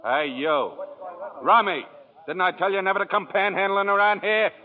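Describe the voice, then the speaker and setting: An actor playing a surly, hostile bartender who talks gruff and curt, in 1940s radio acting on an old broadcast. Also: male voice, high-pitched, expressive, normal speed, narrow, tinny audio. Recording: phone-thin old radio with faint music.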